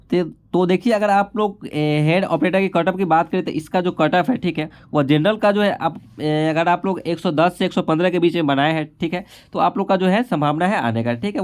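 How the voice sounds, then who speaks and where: Fast-talking man tutor. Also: on the high side, a little mellow. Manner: repetitive confirming tag after nearly every phrase. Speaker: exam-prep instructor. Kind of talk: informal explainer of exam cut-offs and statistics.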